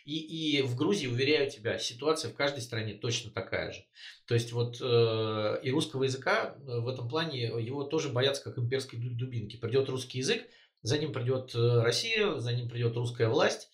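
A man's voice: medium pitch, expressive, brisk. Man hesitating through political talk. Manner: hesitant, many filler sounds, emphatic. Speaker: co-host. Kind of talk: casual political discussion. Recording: roomy echo.

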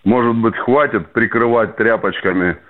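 A man's voice: lowish voice, moderate pace. A man calling in to a radio show, speaking indignantly and with emphasis. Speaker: caller. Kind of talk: phone-in rant. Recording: muffled phone line.

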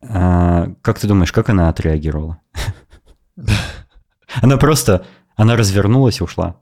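A man hosting a podcast, telling a travel story in a lively, opinionated way in casual chat. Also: low voice, moderate pace. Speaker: podcast host.

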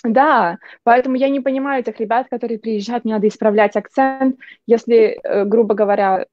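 A young woman from Russia talks as a guest in a relaxed interview. She tells chatty stories with many restarts, in a warm, mellow voice. The recording is dull and dry. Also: on the high side, brisk, no room sound. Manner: chatty, anecdotal, frequent restarts. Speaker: guest, journalist. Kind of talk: casual interview chat.

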